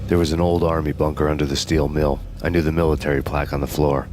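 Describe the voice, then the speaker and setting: A man's voice: extremely low pitch, flat delivery, quick pace, a little mellow, faint music behind. A man narrating a hardboiled noir story in a bleak, brooding monologue. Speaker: narrator reading a game story.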